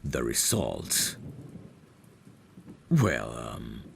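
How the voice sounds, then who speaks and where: A man playing a courtly, caring vampire butler in an ASMR audio drama, speaking in a formal, deferential and gently solicitous way. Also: a bass voice, very animated, drawn-out words, creaky, a little hiss or hum, full character voice. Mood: grave, regretful. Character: courtly, caring male vampire butler, soft-spoken and protective.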